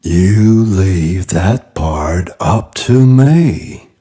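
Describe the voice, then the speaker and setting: A man voicing a calm, quietly confident turtle in a children's fable, deep and gravelly, speaking in an unhurried, deliberate way. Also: heavily acted. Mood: calm, challenging.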